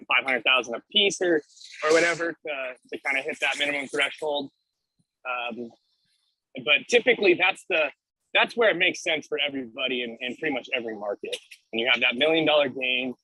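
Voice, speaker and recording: A man's voice: medium pitch, bright, forceful tone, husky, no room sound, low-quality recording. A man chatting on a video call in an upbeat, breezy way. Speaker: call participant. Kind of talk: casual chat on a video call.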